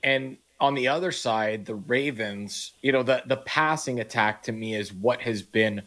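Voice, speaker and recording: Man, sports talk host, mid pitch, casual and thinking aloud. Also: unhurried, a bit bright.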